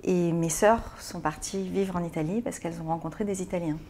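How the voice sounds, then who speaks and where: A woman born in France, an interview guest answering in a thoughtful, halting way with frequent pauses and repeated words. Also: fairly low pitch, steady tone, mellow, slight background noise. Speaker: interview guest, business owner. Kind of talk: hesitant conversational interview answers.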